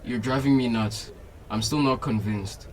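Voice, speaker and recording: A man's voice: mid-range voice, unhurried, slight background noise, close to an everyday voice, low-quality recording. A young man playing a skeptical, exasperated police detective in a radio drama.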